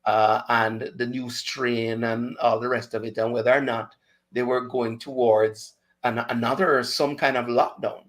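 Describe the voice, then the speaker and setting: A man's voice: mid-range voice, flat delivery, unhurried, a bit bright. A man with a Jamaican accent hosting a chat show, talking casually, slipping into patois and laughing often. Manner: chatty, mixes patois into speech, laughs often. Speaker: radio show host.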